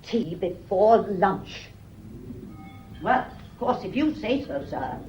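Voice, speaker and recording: An actress playing a spirited housekeeper in a radio drama, deferential on the surface but defensive. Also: female voice, medium pitch, big pitch swings, slow, dark tone, soft background music. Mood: grudging. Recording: dull, muffled old radio recording.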